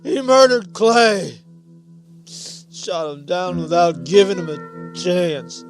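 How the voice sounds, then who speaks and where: A vengeful western tough acted by a man, very slow and deliberate, with a fairly high voice. He sounds angry and accusing, with music behind him in a radio play. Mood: menacing anger. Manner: menacing, accusing. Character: vengeful, angry western tough seeking his brother's killer. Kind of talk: old-time western radio drama acting.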